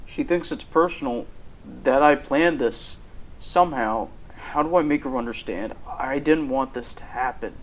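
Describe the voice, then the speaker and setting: A man's voice: mid-range voice, normal speed, lightly acted, rough recording. A young man acting in a radio drama as a defensive, troubled young company executive. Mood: distressed. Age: teenager.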